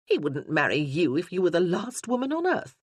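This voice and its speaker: A woman's voice: fairly low pitch, very animated, brisk, husky, no echo. A narrator voicing a haughty, scornful woman who mocks her rival. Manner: sneering, mocking. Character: scornful sharp-tongued woman, haughty and mocking.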